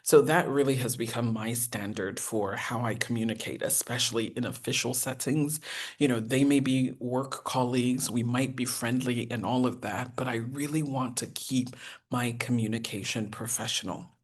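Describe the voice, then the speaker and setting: A woman, teaching a professional etiquette masterclass in an earnest, encouraging way with deliberate enunciation. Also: fairly even intonation. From United States. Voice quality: deep voice. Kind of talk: masterclass lecture.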